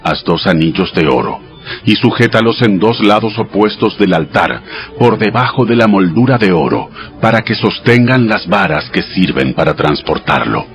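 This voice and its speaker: Male actor as solemn male deity, low voice, commanding, measured, dramatized audio Bible, faint music underneath. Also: very animated. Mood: solemn, instructive. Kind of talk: dramatized scripture narration, scripted. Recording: music behind the voice.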